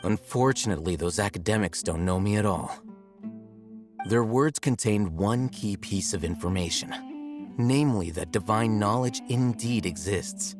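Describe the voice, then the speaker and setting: A man playing a cool, calculating young scholar in a video game, articulate, measured and detached. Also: fairly low pitch, over music, natural voice. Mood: detached, explaining.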